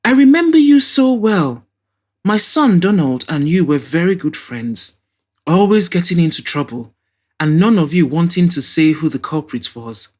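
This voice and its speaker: A woman's voice: fairly low pitch, animated, normal speed, a little mellow. A woman voicing an effusive, nostalgic older mother and hostess in an audio drama. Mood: effusive, welcoming. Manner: effusive, exclaiming, reminiscing.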